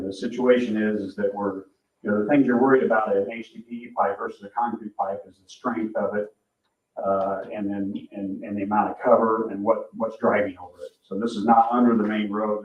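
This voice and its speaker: A man presenting as a project engineer, explaining informally in a halting, self-correcting way. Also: fairly low pitch. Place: public meeting room. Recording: echoey room, distant muffled mic.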